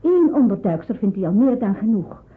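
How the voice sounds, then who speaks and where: An actress playing a brisk, reluctant wartime housewife in a radio drama, firm and matter-of-fact. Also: female voice, fairly high pitch, very animated. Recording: muffled, phone-like old radio drama recording.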